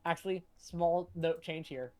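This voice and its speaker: A woman's voice: lowish voice, a little slow, mellow. A woman co-hosting a comic read-along stream, giving casual commentary.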